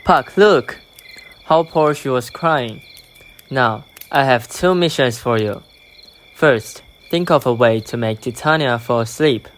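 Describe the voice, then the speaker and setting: Very low-voiced commanding fairy king played by a woman. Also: slow pace, slight background noise. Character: Oberon, commanding male fairy king giving orders. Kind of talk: amateur student radio drama acting.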